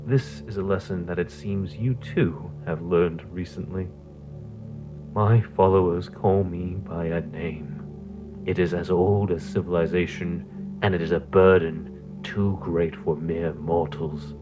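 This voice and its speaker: An actor playing a centuries-old cult leader villain, solemn, grandiose and menacing, in an audio horror monologue. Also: male voice, sits low, steady tone, music in the background. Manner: solemn, deliberate, portentous.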